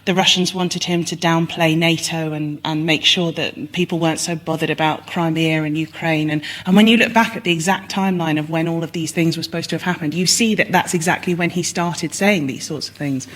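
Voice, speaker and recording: A woman's voice: sits low, steady tone, fairly quick, few pauses. A woman speaking as a panellist in conversational satirical banter. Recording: live recording with faint background noise.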